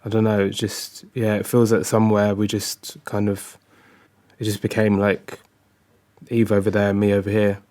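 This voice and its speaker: A man's voice: lowish voice, completely flat delivery, normal speed, mellow, close to an everyday voice. A man playing a disappointed, withdrawn academic husband, speaking hesitantly and guardedly in a naturalistic audio drama. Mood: lonely, resigned.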